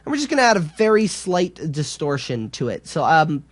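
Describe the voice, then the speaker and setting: A man presenting a software tutorial in a casual, informal way, explaining step by step a little hesitantly. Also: on the high side, big pitch swings, moderate pace, no room sound.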